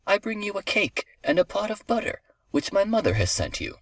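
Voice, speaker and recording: A man's voice: sits high, huge pitch swings, a little fast, fairly bright tone, no room sound, pitched above the performer's own voice, heavily acted, rough recording. A man voicing a wicked storybook wolf who imitates a little girl, falsely sweet and wheedling.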